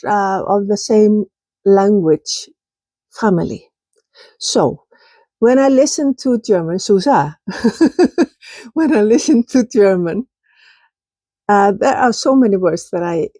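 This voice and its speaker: A woman's voice: medium pitch, lively intonation, warm tone, no echo. A woman with an Icelandic accent, casually showing her pottery in a vlog, searching for words as she goes. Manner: slow, searching for words, with fillers. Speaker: potter showing kiln results. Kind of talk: casual show-and-tell vlog.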